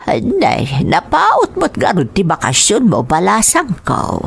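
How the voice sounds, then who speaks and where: A woman's voice: medium pitch, wildly swooping pitch, measured pace, gravelly, breathy edge, exaggerated voice. A woman with a Filipino accent playing a kindly, cautious older mother in an Ilocano audio drama. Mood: welcoming.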